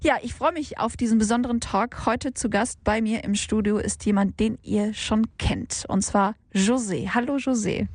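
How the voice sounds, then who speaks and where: A woman's voice: on the high side, moderate pace, hardly any pauses, no room sound. A woman hosting a Sunday radio talk show from the studio, interviewing a guest in a friendly, chatty way with frequent fillers. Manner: warm conversational, many fillers.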